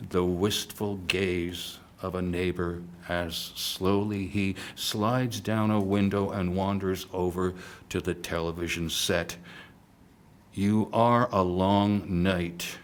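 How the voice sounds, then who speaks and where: A man reading free verse aloud in a measured, deliberate manner. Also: lowish voice. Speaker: poet reading at a reunion event. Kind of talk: poetry reading.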